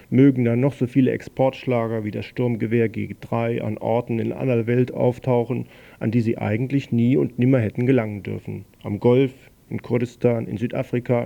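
A man presenting on community radio, reading out a newspaper commentary in a measured, pointed way. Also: mid-range voice, creaky, mellow, muffled recording. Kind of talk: reading a press commentary on air.

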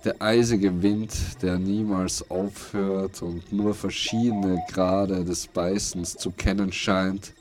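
A man reading scripted narration for an audio play in a deliberate, brooding, dramatic way, with pauses. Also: sits low, flat delivery, a little mellow. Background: music and noise loops under the voice.

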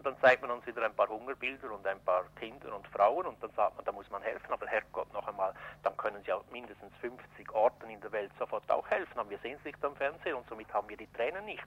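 A man giving spontaneous interview commentary, halting and searching for words, on a dull lo-fi recording. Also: lowish voice, slightly rough. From Switzerland. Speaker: interviewee, journalist.

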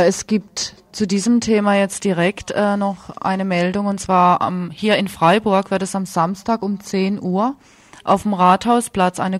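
A woman with a mid-pitched voice announces radio news items in a dry studio sound. She speaks in a loosely scripted way, pausing and hesitating mid-sentence. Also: no room sound. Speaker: radio co-presenter.

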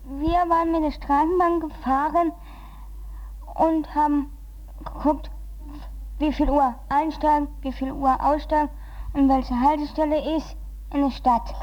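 High-voiced woman, slow and halting. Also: steady tone, a little mellow. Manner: halting, short simple phrases. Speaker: group participant being interviewed. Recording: slightly dull lo-fi radio interview.

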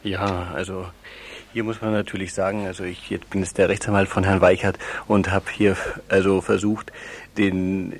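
Deep, gravelly man explaining legal matters. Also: little pitch movement, normal speed. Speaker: lawyer, interviewed as expert. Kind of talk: radio interview, explaining legal matters at length.

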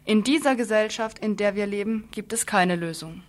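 A woman's voice: mid-range voice, normal speed. A woman presenting a scripted radio news report in an earnest manner, now and then stumbling over a word and starting again.

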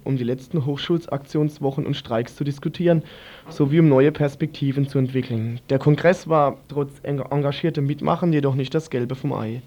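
A man's voice: mid-range voice, warm tone. A man reading a scripted radio news report. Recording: slightly dull, lo-fi radio audio.

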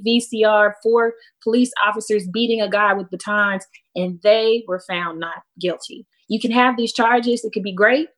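A woman with an American accent speaking as a panelist, explaining things in a measured way with emphasis on key words. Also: medium pitch, low-quality recording.

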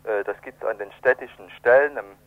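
A man being interviewed, explaining things in a halting way and searching for words, over a telephone. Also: medium pitch, no room sound. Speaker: event organiser, interviewed guest. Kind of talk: spontaneous radio interview by phone. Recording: narrow tinny telephone line.

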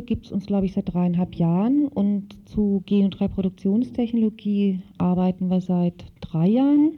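A woman with a mid-pitched voice is being interviewed as an activist guest. She explains things spontaneously and hesitantly, feeling for the right words. The recording is slightly dull and lo-fi. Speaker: interview guest, activist in a women's working group.

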